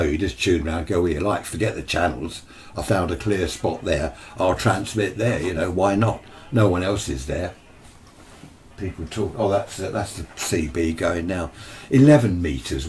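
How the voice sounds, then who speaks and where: A British man giving a casual equipment demonstration, matter-of-fact and rambling, often asking himself questions as he goes. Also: low-pitched. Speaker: amateur radio hobbyist presenter. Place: indoor room with radio gear. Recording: steady background noise.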